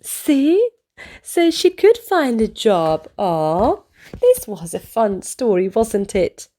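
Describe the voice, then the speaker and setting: A high-voiced woman reads a storybook aloud to young children. She takes her time, with big melodic swings and direct questions and exclamations for the listeners. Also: wildly swooping pitch, takes it slowly, mellow. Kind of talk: expressive read-aloud narration for children.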